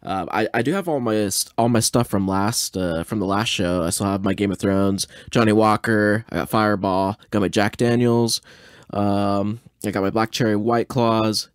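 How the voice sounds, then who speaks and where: A man with a fairly low voice chats casually as a podcast host. He is laid-back, rambling and self-deprecating, recorded close and dry. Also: fairly even intonation, moderate pace, clear, resonant tone, no echo. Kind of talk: casual chat, reacting to an old radio show.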